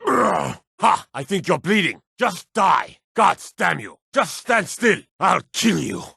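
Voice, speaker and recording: A gruff male fantasy game guard, blunt and theatrical. The voice sits high for a man and swings widely in pitch. It has a forceful, hard edge suited to a threatening soldier. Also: very animated, slow, no room sound, studio quality, exaggerated voice. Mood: menacing, taunting. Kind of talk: video game combat barks and warnings.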